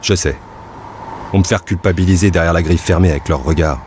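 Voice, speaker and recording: A man plays a devoted fairground worker and dreamer, reflecting aloud as if writing a journal. His voice sits low, with clear outdoor noise and vehicles behind it, in a scripted audio drama. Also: fairly quick, a little mellow, lightly acted. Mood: reflective, anticipating. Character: amusement park worker in Soviet Pripyat, devoted, reflective dreamer.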